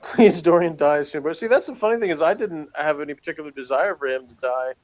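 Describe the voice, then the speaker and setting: A man's voice: fairly high pitch, fast talker, low-quality recording. A man chatting casually as he reviews TV, often chuckling as he talks. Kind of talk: casual conversational review chat.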